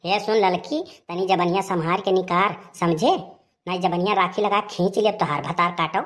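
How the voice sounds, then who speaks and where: A woman's voice: on the low side, steady tone, normal speed, natural voice. A woman playing a brazen, combative elder village sister in a comedy cartoon, earthy and blustering, with an Awadhi accent. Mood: defiant, threatening. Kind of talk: rustic comedy cartoon dialogue.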